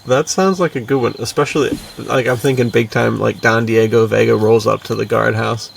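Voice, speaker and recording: Male podcast co-host, very creaky voice, bro-ish casual banter, slightly distant mic with faint noise. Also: medium pitch, steady tone, brisk. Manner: slangy, bro-ish, full of "dude" and "like". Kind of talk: casual, enthusiastic chat.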